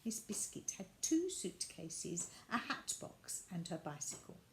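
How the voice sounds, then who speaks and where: A woman reading a children's storybook aloud as an expressive narrator. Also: lowish voice, a little slow, gravelly. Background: added sound effects and faint noise.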